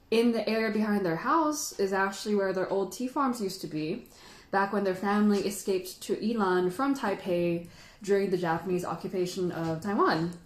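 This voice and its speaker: The recording is noticeably echoey, with the room audible around her voice. A woman of average pitch hosts a casual livestream. She talks informally and sentimentally, in a chatty, giggly manner. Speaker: livestream host and tea teacher.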